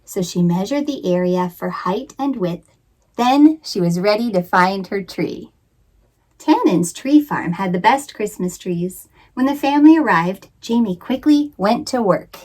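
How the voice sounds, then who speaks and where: A woman narrating a children's picture book in an expressive, theatrical read-aloud style with suspenseful emphasis. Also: mid-range voice, measured pace, low-quality recording. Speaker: children's author and librarian reading aloud.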